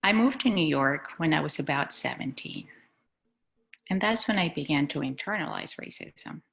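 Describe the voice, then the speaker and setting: A woman from Chile speaks in a low, gravelly voice with a bright, forceful edge. She is a school superintendent, talking earnestly and reflectively about her own life over a video call. Her delivery is measured. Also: animated. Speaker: executive superintendent. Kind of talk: personal speech at a community board meeting.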